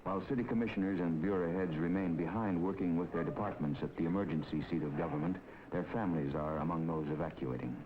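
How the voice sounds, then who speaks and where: A man giving measured, authoritative scripted narration for a 1950s documentary film. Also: low voice, slight background noise. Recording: dull 1950s film soundtrack with music.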